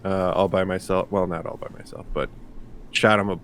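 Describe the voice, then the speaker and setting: A man chatting casually in a halting, self-deprecating, sweary way as a tabletop player. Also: low voice, little pitch movement, fairly bright tone, slight background noise. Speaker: player on a tabletop game show. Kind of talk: casual chat.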